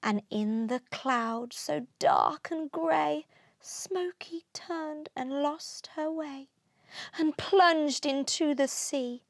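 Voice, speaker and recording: High-pitched, unhurried woman reading a storybook. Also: gravelly, no echo, studio quality. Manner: deliberate, rhythmic verse delivery. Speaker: storybook narrator. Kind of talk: expressive children's read-aloud.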